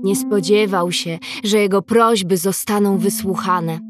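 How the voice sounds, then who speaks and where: A woman narrating a scripted history story for children, measured and addressing her young listeners directly. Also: mid-range voice, fairly even intonation, close to an everyday voice. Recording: music under the voice.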